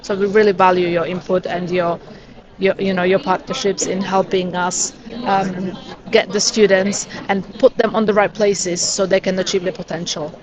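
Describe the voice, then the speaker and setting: A woman representing a university answers interview questions steadily, pausing with frequent "uh"s. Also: medium pitch, level tone. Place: busy education expo hall. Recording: crowd noise behind. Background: crowd chatter.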